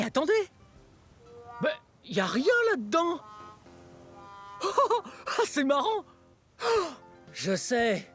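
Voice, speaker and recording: Excitable children's show host, hugely animated intonation, very unhurried pace, playful, exclamatory, simple teaching. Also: medium pitch, full character voice. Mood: puzzled, amused. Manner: exaggerated wonder, simple explanations, frequent giggles and gasps. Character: excitable, wide-eyed, cheerful children's show presenter, playful adult. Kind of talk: exclamatory educational presenting for young children. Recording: music behind the voice.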